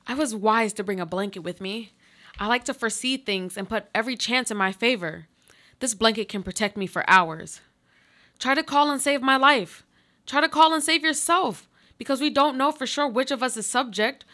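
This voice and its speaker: A woman's voice: fairly high pitch, sharp tone. Solemn trapped woman, played by an actress, clinging to life. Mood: pleading, urgent. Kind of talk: live radio play monologue.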